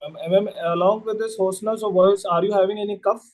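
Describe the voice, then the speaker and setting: A man with a high-pitched yet mellow voice takes a patient history in a practice consultation. He is polite and formal, steps hesitantly into each question and keeps addressing the patient as ma'am. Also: fairly even intonation, brisk. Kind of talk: role-played clinical history taking.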